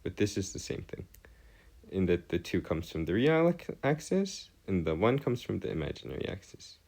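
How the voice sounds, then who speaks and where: Male tutor, low register, very varied intonation, formal and halting, explaining as he works. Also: sing-song, creaky, a little mellow. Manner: formal wording, halting, self-correcting. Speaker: math tutor. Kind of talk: improvised explanatory lesson at a digital whiteboard.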